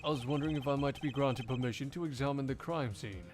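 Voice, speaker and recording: A man's voice: mid-range voice, a little mellow, faint music behind, lightly acted. Man acting a composed, formal prosecutor. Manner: measured, stiffly courteous. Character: composed, formal male prosecutor in a mystery game.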